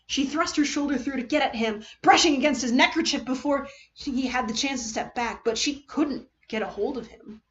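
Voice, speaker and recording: A woman reading a story aloud as narrator, with expressive, theatrical delivery. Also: high-pitched, normal speed, muffled recording. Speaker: streamer reading fan fiction.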